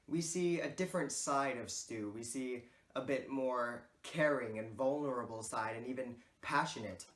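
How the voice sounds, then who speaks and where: Man presenting in echoey room. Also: medium pitch. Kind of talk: explanatory narration for a school project.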